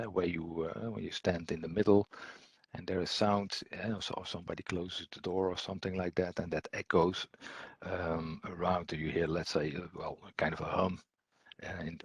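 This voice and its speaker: Low-voiced man, halting technical presenter. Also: husky, no room sound. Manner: hesitant, halting delivery with repeated words. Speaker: technical presenter, audio trainer. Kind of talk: explanatory slide presentation, unscripted.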